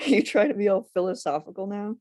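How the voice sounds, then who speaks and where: A woman's voice: medium pitch, animated, fairly quick, mellow, no room sound, clean studio sound, close to an everyday voice. A woman playing a sardonic, teasing teenage best friend at a table read of a film script.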